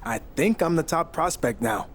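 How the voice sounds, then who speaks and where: A man's voice: a little high, expressive, normal speed, slight background noise, close to an everyday voice. A man playing a cocky, defiant young rookie wrestler in a video game cutscene, smug and assertive.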